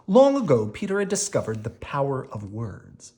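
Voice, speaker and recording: A person reading a children's picture book aloud, expressively and deliberately, with dramatic emphasis and short explanations for young listeners. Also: mid-range voice, huge pitch swings, mellow. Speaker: storybook reader explaining words to children.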